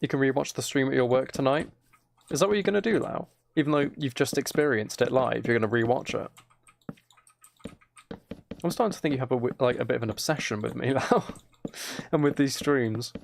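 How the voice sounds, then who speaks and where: Man, creaky voice, mid pitch, casual gaming stream chat, teasing, faint background noise. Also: fairly quick. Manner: chatty, teasing, addresses viewers by name. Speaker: game livestreamer.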